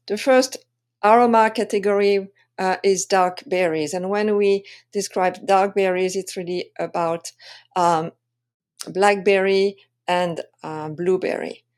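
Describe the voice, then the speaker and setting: A woman with a French accent presenting an explanatory lesson as a wine tasting coach, speaking haltingly with frequent pauses. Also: medium pitch, a little mellow. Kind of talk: presentation lesson to camera or slides.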